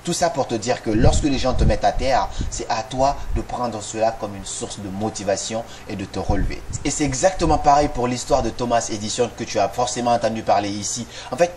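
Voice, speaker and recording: A man's voice: medium pitch, brisk, few pauses, darkish tone. A man giving a motivational talk straight to the listener, speaking directly and firing off rhetorical questions. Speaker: motivational video host. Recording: noisy recording with clicks.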